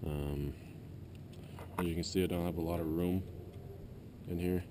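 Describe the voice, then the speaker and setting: A man's voice: low voice, steady tone, a little slow. A man giving a casual, unscripted hands-on how-to demonstration in a plain-spoken, explanatory way, recorded on an action camera. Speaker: amateur installer giving a car tutorial. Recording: noisy action camera, vehicle ambience.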